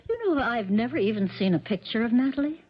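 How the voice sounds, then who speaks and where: A woman's voice: on the high side, sing-song, fast talker, breathy edge. An actress playing a patient, doubtful stepmother in a 1970s radio drama, polite and resigned. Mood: doubtful, worried. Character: patient, doubtful, mild-mannered stepmother and wife. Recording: muffled old radio recording.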